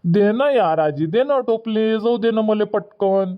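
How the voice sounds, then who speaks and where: A man's voice: high voice, lively intonation, unhurried, clear, resonant tone. A man voicing an impatient, stubborn village boy in a cartoon comedy, whiny and argumentative, with a Varhadi Marathi accent. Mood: pleading, impatient. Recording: dull, muffled lo-fi audio.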